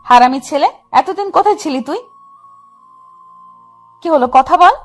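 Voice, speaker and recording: A woman's voice: high voice, expressive, measured pace, faint music behind. A woman playing a bossy, possessive and lovesick young girlfriend with a demanding, scolding manner. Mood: angry accusing.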